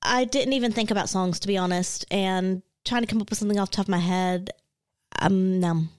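A woman's voice: medium pitch, fairly quick, no room sound, studio quality. A woman co-hosting a podcast, talking in an easygoing, agreeable way. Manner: easygoing, agreeable, backchannels a lot. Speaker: podcast co-host. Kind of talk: casual chat.

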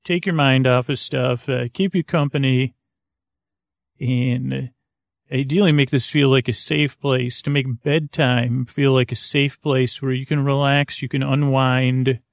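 A man with a gravelly, creaky voice in a dry, close recording. He hosts a bedtime podcast, meandering from tangent to tangent with hesitant restarts. The delivery is lulling and soothing, with very little rise or fall in pitch. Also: steady tone, unhurried, dry recording. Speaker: podcast host. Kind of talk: rambling bedtime monologue to lull listeners to sleep.

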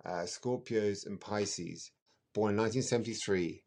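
A man's voice: fairly low pitch, moderate pace, creaky, darkish tone. Middle-aged man, emphatic and conversational. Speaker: astrologer guest. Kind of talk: conversational explanation in a podcast interview.

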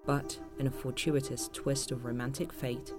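A man narrating a dark audio drama anthology as a grave, ominous storyteller, measured and solemn. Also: mid-range voice, level tone, lightly acted. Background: prominent music.

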